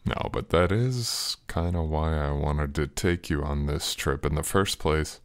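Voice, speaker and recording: A man's voice: very deep voice, a little slow, clean tone, dry recording. A young man performing an intimate ASMR roleplay as an affectionate, teasing boyfriend, murmuring tenderly and breathily close to the mic. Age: young adult. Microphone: close, dry microphone.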